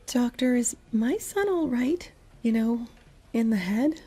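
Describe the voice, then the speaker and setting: A woman playing a tender, worried mother in a horror audio drama, with a soft, husky voice. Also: a little high, animated, slow pace, exaggerated voice. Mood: anxious.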